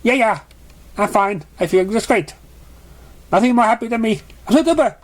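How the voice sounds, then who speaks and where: A man voices a nervous female storybook pony. The voice jumps up high, over-eager and bubbly, with cheer that is plainly forced. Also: normal speed, close to an everyday voice.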